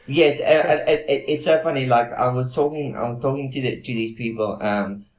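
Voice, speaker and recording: Halting South African man, echoey room. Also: medium pitch, steady tone. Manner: halting, filler-heavy, frequent restarts. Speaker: host. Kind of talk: casual video-call interview.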